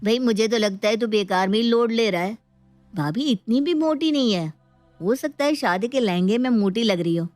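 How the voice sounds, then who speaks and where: Cheeky high-voiced young man, played by a woman. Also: lively intonation, normal speed, darkish tone, no room sound, soft background music, close to an everyday voice. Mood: reassuring. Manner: teasing, chummy. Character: cheeky, casual young male friend.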